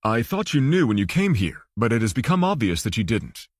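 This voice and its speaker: A man's voice: mid-range voice, very animated, brisk, dry recording, studio quality. A man voicing a guarded, formal male banker who is politely firm, in an audiobook thriller.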